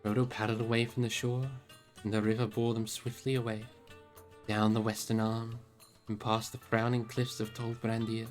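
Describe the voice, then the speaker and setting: A storybook narrator reads a novel aloud on a live stream. The voice sits at a medium-low pitch, with music playing behind it. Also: flat delivery, normal speed, a little mellow.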